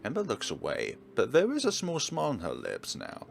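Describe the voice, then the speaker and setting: A man's voice: medium pitch, huge pitch swings, fairly quick, creaky, soft background music. A man reading visual novel narration aloud and adding his own commentary as he plays.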